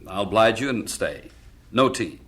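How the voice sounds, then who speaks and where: A wry, blunt fellow who likes to needle his friend in an audio drama. His voice is fairly low and swings in lively, animated turns. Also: moderate pace, breathy edge. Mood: wry, curious. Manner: wry, teasing. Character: wry, coffee-drinking male friend, blunt and teasing.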